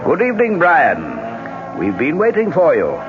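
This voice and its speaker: A man's voice: fairly high pitch, wildly swooping pitch, measured pace, over loud music, heavily acted. An actor playing a commanding Irish man in a 1970s radio drama, with an Irish accent. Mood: courtly, hospitable. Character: tough, decisive Irish gunrunner, later a courteous aristocratic lord. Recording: muffled, noisy vintage radio broadcast.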